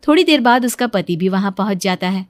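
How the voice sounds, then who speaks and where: A woman's voice: mid-range voice, lively intonation, fairly quick, no room sound. A woman narrator reading a scripted moral story. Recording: music behind the voice.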